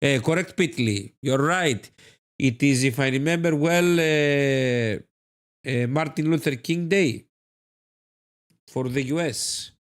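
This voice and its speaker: A man with a Greek accent hosting a live trading stream, reading viewer comments and answering them warmly, often repeating his phrases. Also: medium pitch, takes it slowly. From Greece. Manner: effusive, repeats phrases, calls viewers "my beloved friend".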